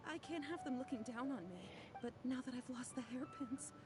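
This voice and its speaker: A woman's voice: sits high, animated, normal speed. A woman voicing an anxious, earnest young merchant woman in a video game, hesitant and apologetic. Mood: worried. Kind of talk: video game character voice acting. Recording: game music behind.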